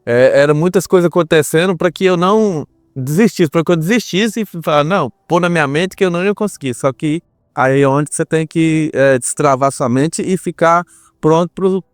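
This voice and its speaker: A man's voice: on the high side, moderate pace, clean tone, no echo, clean studio sound. A Brazilian man speaking as a podcast guest, telling his personal story in an earnest, reflective way and repeating himself for emphasis. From Brazil, small town.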